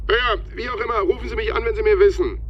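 An actor playing a brusque, demanding corporate boss in a radio drama, clipped and pushy, heard over the phone. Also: male voice, high voice, steady tone, fast, a bit bright. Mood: jovial, pushy. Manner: clipped, commanding, jovially pushy. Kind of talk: naturalistic German radio drama dialogue. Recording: band-limited phone line over vehicle noise.

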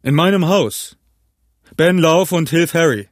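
A man voicing a big, shrewd, jovial tavern keeper in an audiobook, hearty, loud and commanding. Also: mid-range voice, a little slow, dry recording, clean studio sound, natural voice. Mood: loud, indignant. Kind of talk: acted audiobook character.

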